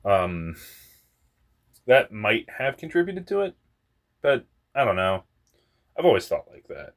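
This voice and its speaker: A young man with a very deep voice telling a personal story in a rambling, candid way, often laughing at himself and swearing. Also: very animated, measured pace. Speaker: vlogger.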